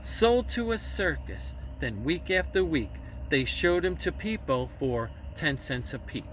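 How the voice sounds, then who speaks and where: A man narrates a children's story in a slow, theatrical voice that swings widely in pitch. His phrasing is emphatic and deliberate, and the recording is a little distant with faint noise behind it. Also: sing-song. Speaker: storyteller reading a children's book aloud.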